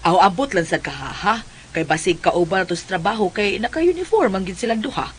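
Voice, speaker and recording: Casting: nosy older auntie type for radio serial, very expressive, chatty, medium-pitched. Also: female voice, fairly quick, husky. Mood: prying, gossipy. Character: inquisitive, gossipy older female relative of a young woman. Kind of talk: radio drama acting.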